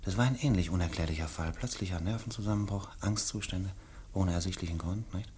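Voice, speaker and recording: A man acting as a composed chief physician in a German radio mystery, speaking in a deliberate, clinical manner. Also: low voice, darkish tone, a little hiss or hum, lightly acted. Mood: grave, clinical. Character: composed male chief physician giving a careful diagnosis.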